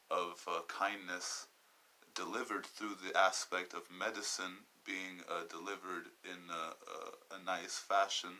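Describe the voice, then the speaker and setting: Low-voiced, sharp-toned young man. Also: low-quality recording. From Southern California. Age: 20s. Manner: halting and wandering, searching for words. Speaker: podcast host. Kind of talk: personal podcast monologue.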